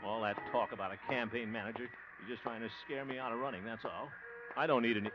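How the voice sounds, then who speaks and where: A man's voice: medium pitch, steady tone, fast talker, lo-fi sound, loud music behind the voice, natural voice. An actor playing a good-natured, bumbling man running for mayor, laughing heartily, in a 1950 radio comedy. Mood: musing. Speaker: lead actor. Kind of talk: 1950s radio comedy acting. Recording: hissy restored 1950 radio recording.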